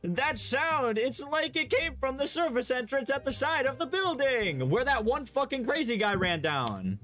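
A man voicing a loud, brusque male construction foreman. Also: very high-pitched, very animated, normal speed, soft background music, voice pushed up, full character voice.

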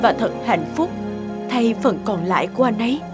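A woman playing an earnest, sympathetic doctor in a cartoon drama, speaking in a measured, composed way. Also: a little high, lively intonation, over loud music, close to an everyday voice. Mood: gentle, solemn.

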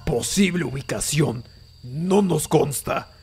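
A man voices a bossy, scornful cartoon villain in a slow, gravelly voice. He grumbles his contempt over prominent music. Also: fairly high pitch, expressive. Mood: scornful, irritated. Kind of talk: animated fan film voice acting. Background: loud music.

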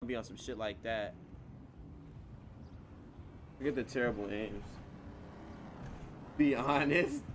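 A man's voice: mid-range voice, unhurried, long pauses, noisy background. A man streaming a roleplay game, talking casually in profane street slang.

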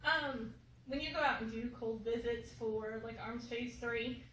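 A woman's voice: fairly high pitch, fairly even intonation, a little slow, dull audio, background noise. A woman in a workshop audience asking a casual, slightly hesitant question. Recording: echoey room, far from the mic.

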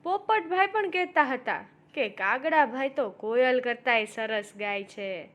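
A woman voicing a sly, flattering male fox in a coaxing manner for a children's fable. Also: high-pitched, a little slow. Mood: sly flattery.

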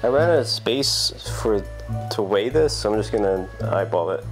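A man's voice: fairly low pitch, lively intonation, moderate pace, faint music behind. A man in his thirties bantering casually as he co-hosts a home cooking video, dry and wry in his humor. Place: home kitchen.

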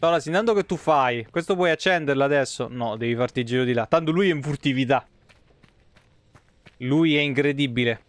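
A man's voice: mid-range voice, a little fast, a little hiss or hum. A man, muttering casual, foul-mouthed commentary as he streams a game. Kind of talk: casual live gameplay commentary. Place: small indoor room, streaming setup.

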